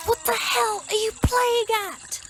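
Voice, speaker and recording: A woman's voice: very high-pitched, steady tone, slow pace, slight background noise. A woman acting a prickly, defensive young solicitor who works behind a bar, in an amateur audio drama, curt and indignant. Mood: angry, accusing. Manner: curt, indignant, sharp-tongued.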